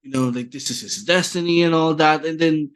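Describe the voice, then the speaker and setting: A Filipino-accented man on a casual podcast, his voice a touch high for a man. He lays out his argument step by step, padding it with small fillers as he goes. His tone stays conversational and even-handed. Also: fairly quick, clean tone. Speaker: podcast co-host. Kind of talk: casual chat mixing English and Tagalog.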